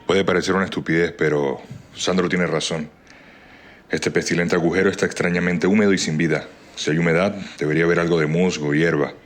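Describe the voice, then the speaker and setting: Casting: male actor as stern military commander for audio drama, extremely deep, fast-talking, authoritative. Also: fairly even intonation, close to an everyday voice. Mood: puzzled, serious. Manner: firm, commanding. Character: stern army sergeant, severe commander of his men. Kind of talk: amateur audio drama acting.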